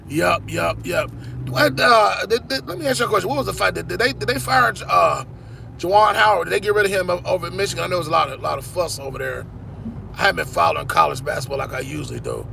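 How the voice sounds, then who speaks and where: A man's voice: sits high, big pitch swings, fast talker, gravelly, bright, forceful tone, a little hiss or hum. A man in his forties, hosting a sports channel, chatting casually with viewers in a rambling way, often repeating "man". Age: 40s. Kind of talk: casual live-stream chat with viewers.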